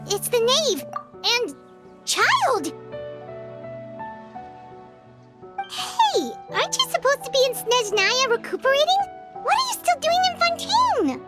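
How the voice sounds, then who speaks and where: A woman's voice: extremely high pitch, wildly swooping pitch, measured pace, full character voice. A woman voicing a tiny floating female companion who is chirpy and nosy, chatty and a little hesitant. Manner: chatty, hesitant, refers to herself in third person. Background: game soundtrack music.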